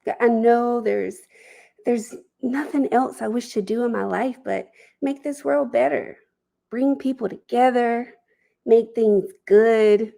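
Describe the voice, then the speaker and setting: A woman performing a dramatic audition monologue as an earnest, reflective, idealistic young person, speaking deliberately. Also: fairly high pitch, gravelly, mellow. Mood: reflective, determined.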